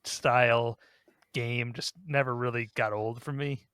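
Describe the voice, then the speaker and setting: A man with a mid-pitched voice in casual conversation, recorded dry with no room sound. He talks like a podcast co-host chatting about games with friends. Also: steady tone, unhurried, fairly bright tone, smooth, no echo.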